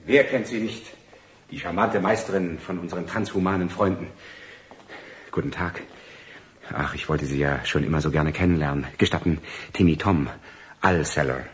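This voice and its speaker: A man's voice: sits low, moderate pace, pauses often, slightly rough. A man playing a fawning, self-important schemer in a radio drama, wheedling and pompous with flustered bluster. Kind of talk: theatrical radio drama acting.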